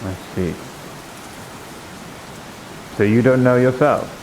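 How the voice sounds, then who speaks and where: A man playing a shrewd, probing member of an abbey in improvised roleplay, speaking in a measured, insinuating, formal way. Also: mid-range voice, darkish tone, rough recording. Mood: measured, wry. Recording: hissy live stream microphone.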